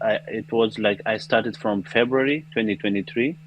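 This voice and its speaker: Afghan accented guy, deep voice, answering casually, repeats words a bit, little noise behind. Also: normal speed, low-quality recording. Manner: hesitant, repeats words in simple non-native English. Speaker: interview guest, student. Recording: noticeable background noise.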